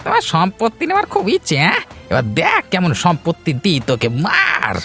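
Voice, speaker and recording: Man playing sharp, swooping, taunting thug. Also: high-pitched, wildly swooping pitch, a little fast, faint music behind, heavily acted. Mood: menacing, taunting. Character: vengeful, taunting village thug. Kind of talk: cartoon moral story acting.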